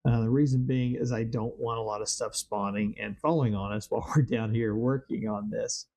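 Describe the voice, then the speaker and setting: A man hosting a Minecraft let's-play, slightly hoarse from a weather change, talking casually and rambling through his plans with lots of fillers. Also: on the low side, steady tone, moderate pace, warm tone. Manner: rambling, thinks aloud with many fillers. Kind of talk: casual gameplay commentary.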